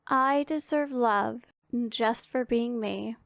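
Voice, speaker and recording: A powerful demoness acted by a woman in an intimate ASMR roleplay, with a fairly high voice and slow, deliberate delivery. She is imperious and formal, giving orders with a caring streak underneath. The sound is close and dry. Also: creaky, no echo. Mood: firm, commanding. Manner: authoritative, formal, imperious diction. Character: stern, commanding yet secretly caring powerful female demon. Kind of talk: scripted ASMR roleplay addressed to the listener.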